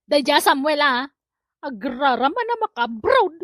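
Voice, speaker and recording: A woman's voice: high voice, sing-song, a little slow, a bit bright, dry recording, studio quality, exaggerated voice. A woman playing a nosy, giggly village gossip who is easily offended, in Ilocano comedy drama, with an Ilocano accent. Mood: gleeful, gossipy.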